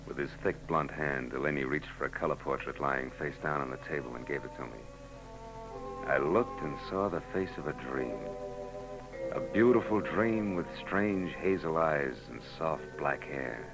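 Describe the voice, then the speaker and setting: A man's voice: extremely low pitch, moderate pace, low-quality recording. An actor playing a hard-boiled private eye who narrates his case in a 1940s radio drama, wry and world-weary. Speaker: narrator and lead. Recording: old radio broadcast with background music.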